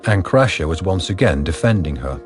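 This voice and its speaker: A man narrating a radio drama from a script in a measured, factual way. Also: low voice, lively intonation, soft background music.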